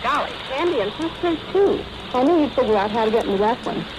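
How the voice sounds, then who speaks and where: A woman's voice: on the high side, lively intonation, quick pace. A woman, an army nurse, speaking in scripted 1940s radio conversation over a noisy relay. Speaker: army hospital day nurse.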